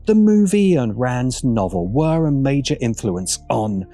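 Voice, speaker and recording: Male essayist narrator, mid-pitched and very animated in delivery, music underneath. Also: measured pace, no room sound. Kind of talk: scripted video essay narration.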